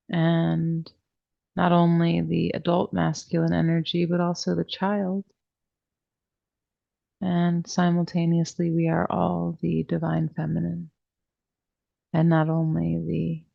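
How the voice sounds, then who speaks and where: A woman teaching a guided meditation class, speaking in a soothing, deliberate way with long pauses and a soft-edged, creaky voice. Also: on the low side, lo-fi sound. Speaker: yoga nidra meditation teacher.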